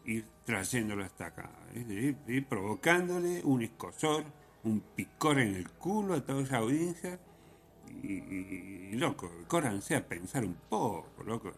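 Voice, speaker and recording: Low-pitched Argentine man, irreverent radio host. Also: big pitch swings, faint music behind. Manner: vehement, irreverent, slangy, halting with repeated tags. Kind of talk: informal opinionated radio monologue.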